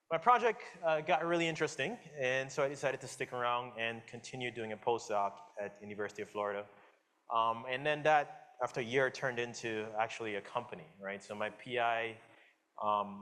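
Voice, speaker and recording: A man in his forties from the Caribbean with a slight Caribbean accent, giving a relaxed public science lecture and talking with the audience, with many fillers and restarts. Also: medium pitch. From Guyana, raised in Jamaica. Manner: casual, friendly, asks audience questions, many fillers and restarts. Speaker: guest lecturer, professor.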